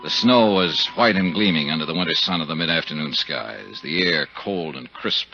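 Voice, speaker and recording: An actor playing a cool, hard-boiled insurance investigator in a 1950s radio drama, terse and determined. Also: male voice, rumbling low, lively intonation, moderate pace, creaky, sharp tone, soft background music, exaggerated voice. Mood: hard-boiled narration. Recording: dull 1950s radio recording.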